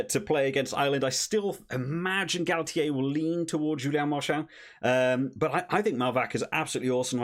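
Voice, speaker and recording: A man hosting his own rugby channel, talking through a team list in a casual, upbeat and chatty way. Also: medium pitch. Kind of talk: casual sports commentary to camera.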